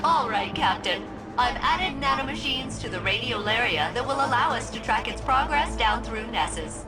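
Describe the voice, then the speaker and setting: A chipper starship AI played by a woman speaks in a fairly high voice. She is formal and precise, like scripted game dialogue, with music playing under her. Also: animated, normal speed, natural voice, low-quality recording. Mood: brisk, instructive. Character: chipper, precise female artificial intelligence of a starship. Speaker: video game character.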